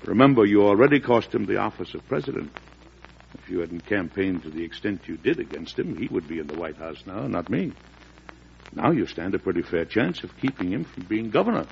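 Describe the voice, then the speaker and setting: A low, gravelly, weary president. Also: male voice, animated. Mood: grave, resigned. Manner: deliberate, grave, statesmanlike. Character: weary, measured, compassionate male president of the early United States. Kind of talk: old-time American radio drama acting. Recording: dull, noisy vintage radio recording.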